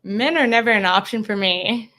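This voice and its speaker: A woman's voice: medium pitch, normal speed, bright, forceful tone. A woman of twenty-five on a podcast panel, plainspoken and a little hesitant. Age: 20s. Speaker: panel guest. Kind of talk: casual chat.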